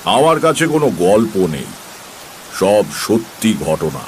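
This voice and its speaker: Low-voiced seasoned tantric storyteller. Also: male voice, sing-song, slow, long reverb tail, rough recording. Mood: firm, assured. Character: Taranath, seasoned male tantric sage telling his true eerie tales. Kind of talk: dramatised audio story narration. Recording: faint background noise.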